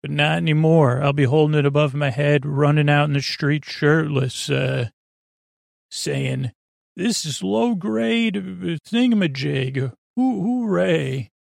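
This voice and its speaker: A middle-aged man hosting a bedtime podcast in a creaky voice, rambling hesitantly, trailing off and circling back on himself. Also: fairly high pitch, takes it slowly, no echo, studio quality. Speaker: podcast host. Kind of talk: rambling bedtime monologue to help listeners sleep.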